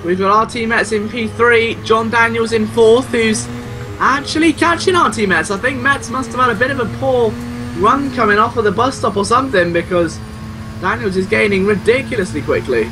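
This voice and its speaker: A man giving excitable, exclamatory live play-by-play commentary on a motor race. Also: very high-pitched, normal speed, over music. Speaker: sim racing race commentator. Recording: clear car engine noise behind. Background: racing car engines.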